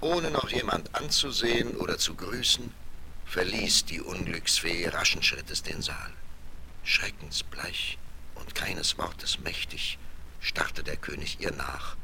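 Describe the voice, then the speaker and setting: Deep, gravelly male fairy-tale narrator. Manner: expressive, deliberate storytelling. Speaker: storyteller. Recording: noisy 1970s vinyl record.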